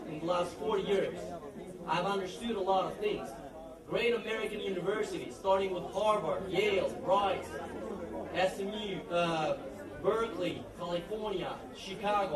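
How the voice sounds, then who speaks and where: A young man with a slight Texas accent giving a relaxed, proud speech from pages at a party, with some restarts. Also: high voice. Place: large hall at a party. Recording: echoey hall, mic far off, loud crowd.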